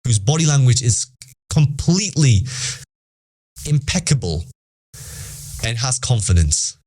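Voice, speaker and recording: A man's voice: medium pitch, big pitch swings, a little slow, dark tone. A young British man with a British accent, giving a casual motivational talk to teenagers, emphatic and repetitive. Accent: British English. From Britain. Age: young adult. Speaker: podcast host.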